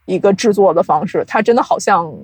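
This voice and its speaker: A woman's voice: mid-range voice, fairly quick, husky, dry recording, low-quality recording. Woman chatting through an explanation, dry close sound. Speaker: podcast guest, audio drama producer. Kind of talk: casual interview explanation.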